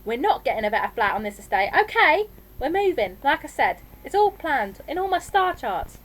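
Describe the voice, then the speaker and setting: Woman acting a bossy working-class mum, lively and emphatic. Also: sits high, normal speed, voice pushed up. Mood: insistent. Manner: scolding, emphatic, colloquial. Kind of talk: audiobook character voice.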